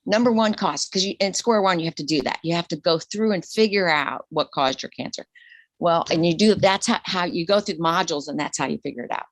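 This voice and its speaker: A woman in her sixties, a retired nurse, talking openly in an interview, animated and laughing, her voice leaping high when excited. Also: fairly quick, no room sound. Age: 60s. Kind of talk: casual interview conversation.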